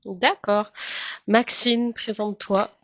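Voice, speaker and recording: A woman's voice: medium pitch, fairly even intonation, slow, fairly bright tone, no room sound. Woman interviewer with short prompts. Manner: brief prompts and short acknowledgements. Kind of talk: casual interview chat.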